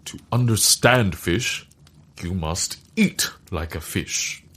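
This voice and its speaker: A grandiose, eccentric male scientist played by a man in a comedic audio drama. His low, husky voice moves slowly and pompously. The pitch swoops as he declaims, as if every remark were a grave announcement. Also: lively intonation, pushed and bright, exaggerated voice.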